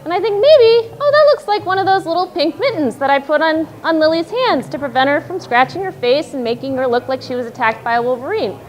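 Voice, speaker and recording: A woman in her thirties telling a wry, self-deprecating story on stage at a live storytelling night, in a loud voice. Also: sits high, lively intonation, fairly quick, hardly any pauses.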